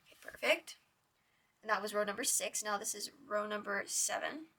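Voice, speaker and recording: A young woman with a hoarse, raspy voice hosts a casual crochet tutorial. She chats with a lot of "like" and counts her stitches in a soft whisper. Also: medium pitch, moderate pace. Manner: chatty, with lots of "like".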